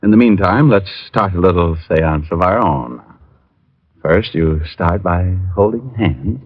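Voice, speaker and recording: An actor playing a breezy, wisecracking private detective, teasing and easygoing, in old-time radio drama style. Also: male voice, low voice, lively intonation, unhurried, breathy edge, full character voice. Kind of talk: 1940s-50s radio detective acting, narration and banter. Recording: dull old radio recording.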